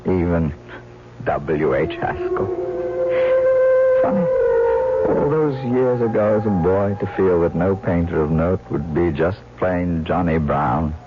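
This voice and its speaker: An actor playing an embittered, haunted old painter, narrating gravely and deliberately in a radio mystery drama. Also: male voice, low voice. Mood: weary, resigned. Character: embittered, haunted old painter telling his tragic past. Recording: muffled vintage radio recording, loud music.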